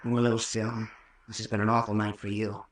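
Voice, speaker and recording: A man's voice: lowish voice, level tone, brisk, lightly acted. An actor playing an earnest, suspicious young American hero, protective of his sweetheart, in an old-time radio horror drama. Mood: tender, soothing. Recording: roomy, distant old radio recording.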